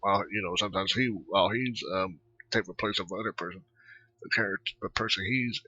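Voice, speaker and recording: A man doing casual video game commentary in a husky voice, halting and rambling as he goes. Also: low voice, sharp tone, lo-fi sound. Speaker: gameplay commentator.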